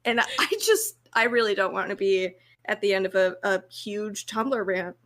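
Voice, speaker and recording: A woman's voice: medium pitch, steady tone, normal speed, fairly bright tone. A woman co-hosting a podcast, chatting casually in a dry, mock-earnest way. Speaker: podcast co-host. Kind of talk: casual chat.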